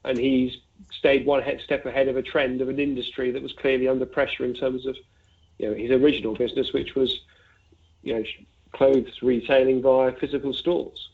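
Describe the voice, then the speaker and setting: A man heard through a narrow, phone-like connection, speaking as a fund manager giving market commentary. His pitch is middling and stays fairly flat. He talks discursively and conversationally, working through his points aloud with asides and examples. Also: normal speed. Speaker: investment fund manager being interviewed. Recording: narrow, tinny phone-like line.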